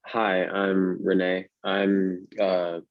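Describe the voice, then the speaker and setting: A young man in his late teens, a student set designer, talking hesitantly with many pauses and restarts. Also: monotone, a little hiss or hum. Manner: hesitant, many ums and restarts, laughs lightly. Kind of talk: casual discussion on a video call. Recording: dry, muffled low-fi mic.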